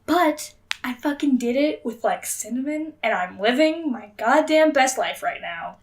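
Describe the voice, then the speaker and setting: A young adult woman co-hosting a podcast in casual comedic banter, excitable, giggly and sweary. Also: high voice, unhurried, distant mic, a little hiss or hum. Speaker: podcast co-host.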